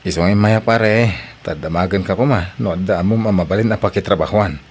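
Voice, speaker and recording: A man's voice: low-pitched, a little fast, a little hiss or hum, natural voice. A man playing a hard-working husband and father in need of a job, in an Ilocano radio drama with an Ilocano Filipino accent. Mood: eager.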